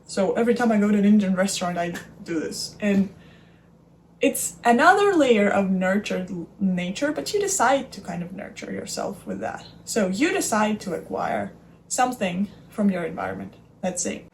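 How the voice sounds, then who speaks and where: A young woman talks through her ideas slowly, pausing and restarting as she hunts for the right word. Her voice sits at an ordinary middle pitch, and a faint hiss of background noise lies under it. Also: expressive. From Russia. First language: Russian. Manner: thoughtful, searching for words, many fillers. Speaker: podcast host. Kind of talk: reflective solo talk.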